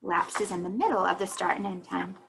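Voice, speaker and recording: A woman reading a children's picture book aloud like a teacher, pausing to think aloud and explain. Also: medium pitch, steady tone, vocal fry, fairly bright tone, slight background noise.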